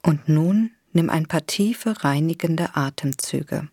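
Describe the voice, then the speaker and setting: A woman leading a guided imagery relaxation exercise in a soothing, gentle, unhurried way with long pauses. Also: sits low, husky, no echo, studio quality. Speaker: guided meditation instructor.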